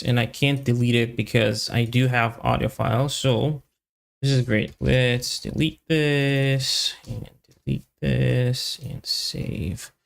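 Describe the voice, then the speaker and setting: A man thinking aloud as he hosts a live coding stream, muttering in halting, half-finished phrases. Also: mid-range voice, creaky.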